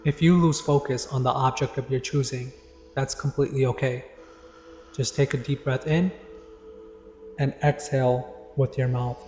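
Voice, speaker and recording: A man leading a guided meditation in a calm, deliberate, instructive way with pauses. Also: mid-range voice. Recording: echoey long reverb, music behind. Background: background music.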